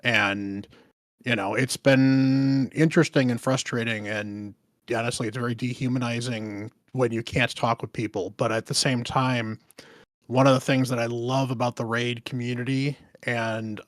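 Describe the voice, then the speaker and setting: Male streamer on a panel call: gravelly, strained voice at low volume, careful and earnest, dry mic. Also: fairly even intonation, normal speed, no room sound. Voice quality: rough, strained voice from a damaged vocal cord. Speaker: streamer and content creator. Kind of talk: casual panel chat.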